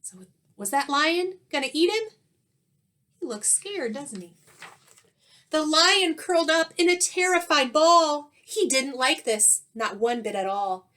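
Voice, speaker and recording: A woman teacher reading a children's story aloud expressively, with dramatic pauses and questions to young listeners. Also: high voice, very animated, sharp tone.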